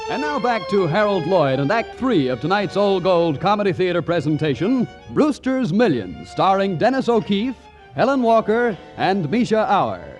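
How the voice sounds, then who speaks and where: A man's voice: high-pitched, very animated, moderate pace, faint music behind. A man working as a radio announcer, delivering a scripted 1940s commercial with polished, emphatic salesmanship.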